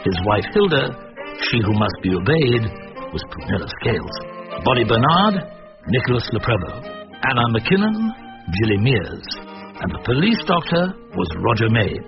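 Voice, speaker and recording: A man announces radio credits in a formal, measured way. His mid-pitched voice moves expressively over clear music, on a slightly dull recording. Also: very animated.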